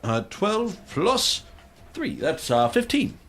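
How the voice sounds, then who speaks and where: A man's voice: high voice, sing-song, slow pace, fairly bright tone. A man playing a tabletop game, talking casually through his turn at the table. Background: music.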